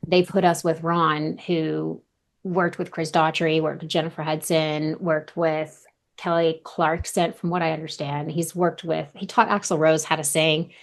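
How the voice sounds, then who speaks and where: A woman's voice: low-pitched, level tone, a little slow, vocal fry. A woman in her thirties, a vocal coach, chatting casually in an interview and repeating her words often.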